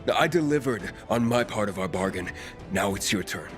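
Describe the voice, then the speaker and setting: A man's voice: lowish voice, expressive, brisk, over music, close to an everyday voice. An actor playing an impatient, weary young male survivor in a video game, blunt and sardonic. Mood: frustrated, angry. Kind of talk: cinematic game dialogue.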